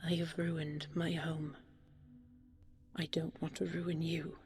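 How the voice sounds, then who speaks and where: Woman as despairing fairy-tale youth, devoted, sorrowful, breathy, low and creaky, slow pacing. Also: little pitch movement, a little mellow, exaggerated voice. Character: despairing, guilt-ridden youthful storybook hero, devoted lover.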